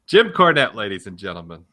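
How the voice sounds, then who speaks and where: A man co-hosting a podcast, giving opinions in casual chat with measured, emphatic repetition. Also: medium pitch, pushed and bright. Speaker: podcast co-host.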